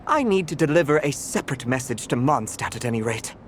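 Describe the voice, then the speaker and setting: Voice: mid-range voice, lively intonation, quick pace, a little hiss or hum, lightly acted. An actor voicing a fussy, proud male cat butler in a video game, speaking in a pompous, formal and theatrical way. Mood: pompous, businesslike.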